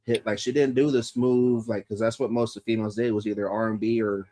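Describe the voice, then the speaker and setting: A man's voice: fairly low pitch, little pitch movement, fast talker, mellow, slight background noise. A man in his thirties hosting a podcast, talking loosely and profanely and laughing often. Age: 30s. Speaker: podcast host. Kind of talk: casual podcast chat.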